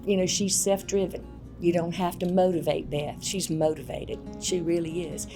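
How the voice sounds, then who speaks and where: A woman's voice: on the low side, little pitch movement, normal speed, over music. A woman who coached basketball, speaking in an interview with emphatic, repeated points. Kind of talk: interview recollection.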